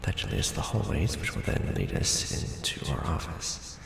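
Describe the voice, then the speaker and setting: A man's voice: very deep voice, very animated, normal speed, creaky, slight background noise, full character voice. A man playing a nervous, joking young ex-janitor in a roleplay audio drama, hesitant and informal. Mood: wry, cautious.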